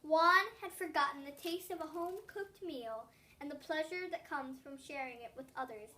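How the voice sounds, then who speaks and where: A young schoolgirl narrates a storybook in a high, childlike voice. She reads with expression and now and then trips on a word. The microphone sits a little away from her. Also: moderate pace, recorded from a distance. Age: child, about eight or nine (3rd grader). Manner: expressive reading with occasional stumbles. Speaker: young student reading a storybook aloud. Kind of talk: read-aloud story narration.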